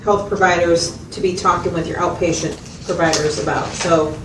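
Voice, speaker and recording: A woman's voice: fairly low pitch, fairly even intonation, normal speed, natural voice. A woman playing a patient, methodical mental health clinician in a role-played consultation, explaining and checking understanding with questions. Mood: patient, explanatory. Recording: echoey, distant mic, noisy room.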